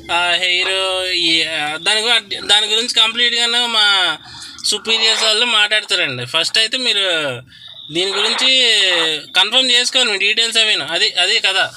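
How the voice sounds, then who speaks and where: A man making a prank sales call in a polite, halting but insistent way. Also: high voice, background noise. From Godavari districts, Andhra Pradesh, India. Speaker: prank caller posing as hospital telemarketer. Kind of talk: prank phone call, mock sales pitch.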